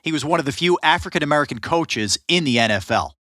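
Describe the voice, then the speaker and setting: A man's voice: fairly high pitch, animated, fast, sharp tone, dry recording, studio quality. A man narrating a sports history podcast in a scripted style, joking with his co-host.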